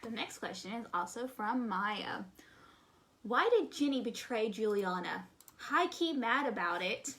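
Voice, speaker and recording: A woman's voice: a little high, animated, measured pace, a bit bright. A woman co-hosting a casual livestream, reading fan questions aloud and chiming in informally.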